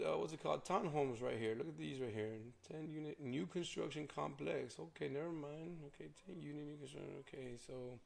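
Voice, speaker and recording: A hoarse young man, a realtor chatting loosely through a casual vlog walkthrough with lots of fillers. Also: medium pitch. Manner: rambling, filler-heavy. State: hoarse from a cold.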